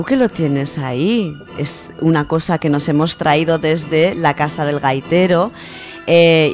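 Aragonese woman doing a book bit on the radio, chatty, lots of ums, quiet music under her. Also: sits low. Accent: Aragonese Spanish. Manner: chatty, explanatory, with many fillers. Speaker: radio section presenter. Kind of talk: casual radio literary segment.

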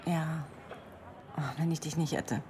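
A sad young woman leaning on her pal, lowish soft voice, radio drama, bit of background hiss. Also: fairly even intonation, measured pace. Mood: apologetic, grateful.

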